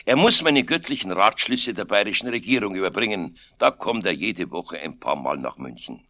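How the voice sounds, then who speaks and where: Heavenly authority, performed by a male storyteller: composed, mildly reproachful, crisp forceful tone, for a storybook reading. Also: low voice, animated, moderate pace, no room sound, heavily acted. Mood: decisive. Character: dear God, male, astonished but composed heavenly authority.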